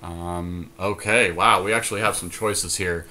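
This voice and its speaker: Male podcast co-host, low-pitched, conversational, talking through game decisions aloud in a casual commentary style. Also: steady tone, unhurried, pushed and bright.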